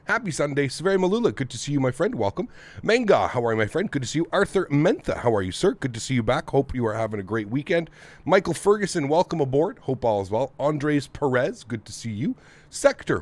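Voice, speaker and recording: Guy from north america hosting a live stream, kinda nasal and stuffy, upbeat, greeting everyone by name. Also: mid-range voice. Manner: upbeat, friendly, greets viewers one by one. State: congested throat from the weather. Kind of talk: casual live stream chat, greeting viewers. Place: home flight sim setup.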